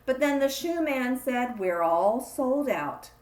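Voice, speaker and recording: A woman reading a children's picture book aloud, with expressive emphasis on the repeated refrain. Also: high-pitched, slow, roomy sound, low-quality recording. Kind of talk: read-aloud storytelling for kids.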